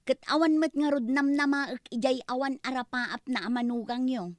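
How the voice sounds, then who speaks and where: A woman's voice: sits high, moderate pace, lightly acted. A woman with an Ilocano accent playing a plaintive, headstrong young woman in a comedy-drama. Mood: earnest, pleading.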